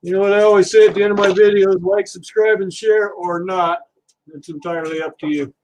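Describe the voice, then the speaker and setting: High-voiced man chatting on a livestream. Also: fairly even intonation, brisk, a little mellow, slight background noise, poor audio. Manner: easygoing, chatty, talks to viewers while working. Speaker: livestream host. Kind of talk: casual livestream chat while scrapping.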